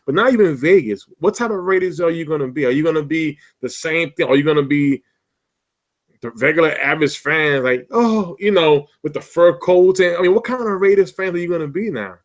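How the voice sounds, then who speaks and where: A man's voice: a little high, fairly quick. A man co-hosting a sports talk show, joking and stammering through casual banter. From half Spanish, Puerto Rican. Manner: animated, stammering, jokey. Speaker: podcast co-host.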